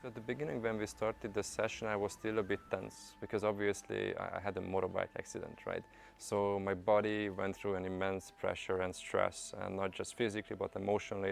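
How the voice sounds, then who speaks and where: Low, gravelly man with a flat delivery. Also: fairly quick, a little hiss or hum. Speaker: client giving a testimonial. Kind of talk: casual spoken testimonial.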